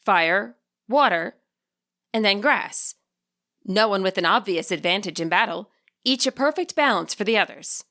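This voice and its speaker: A woman reading a fan fiction story aloud as scripted, expressive narration. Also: medium pitch, moderate pace.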